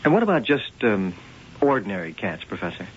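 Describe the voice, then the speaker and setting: An actor playing a skeptical police lieutenant in a radio drama, questioning in an understated way. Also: male voice, fairly low pitch, sing-song, brisk, slight background noise. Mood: probing. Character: probing, skeptical male police lieutenant on a murder case. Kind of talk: old-time radio drama acting. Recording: dull old radio drama recording.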